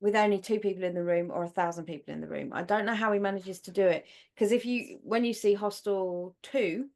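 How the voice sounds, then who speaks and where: An Englishwoman co-hosting a podcast, opinionated and chatty, talking in quick bursts in casual conversation. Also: mid-range voice, a little mellow. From England. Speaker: podcast co-host. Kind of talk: casual chat.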